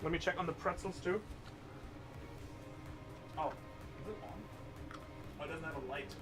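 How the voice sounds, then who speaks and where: A man chatting casually as a guest on a cooking livestream, easygoing and quick to laugh. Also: fairly high pitch, fairly bright tone, faint music behind.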